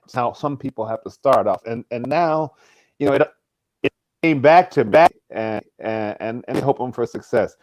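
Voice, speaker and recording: A man's voice: mid-range voice, normal speed, no room sound, poor audio. Effusive man stammering through live remarks. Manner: effusive, stammering with repeated starts. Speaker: film actor on a panel.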